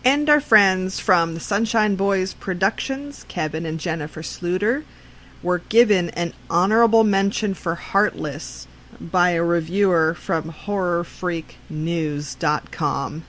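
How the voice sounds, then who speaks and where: A woman hosting a show, reading announcements from a script. Her voice sits a little low for a woman, and she takes her time, delivering each item at a markedly slow pace. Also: a bit bright. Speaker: podcast host. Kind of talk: scripted news-style reading of awards.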